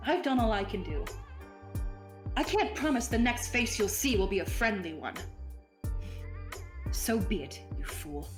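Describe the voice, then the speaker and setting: A woman's voice: on the high side, animated, slow, pauses often, music in the background. A woman playing a vampire lord's loyal, haughty minion in a scripted ASMR roleplay, speaking formally and persuasively with disdain. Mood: resigned warning.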